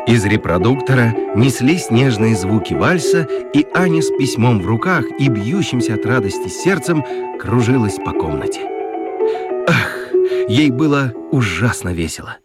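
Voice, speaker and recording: A man narrating a children's radio story in an expressive, theatrical, confiding way with playful gravity and dramatic pauses. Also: fairly low pitch. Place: studio. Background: music.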